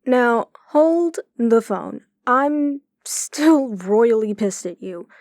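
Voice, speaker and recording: A woman's voice: on the high side, expressive, very slow, a little mellow, dry recording, full character voice. An actress playing a guarded, tough female police chief in an audio drama, with a dry, firm manner. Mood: firm, annoyed.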